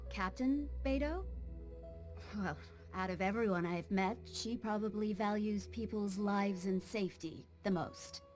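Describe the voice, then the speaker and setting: Game medic, voiced by a woman: calm, earnest female sea surgeon, mid-pitched, measured and explanatory, soft music behind. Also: close to an everyday voice. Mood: calm, reassuring. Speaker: ship's surgeon character. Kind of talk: video game voice acting. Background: background music.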